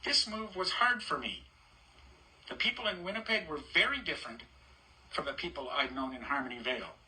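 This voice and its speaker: Bright-voiced older man reading to kids. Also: fairly high pitch, lively intonation, a little fast, low-quality recording. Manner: warm, chatty, stops to ask children questions and point at pictures. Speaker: storybook reader. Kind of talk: reading a picture book aloud to children.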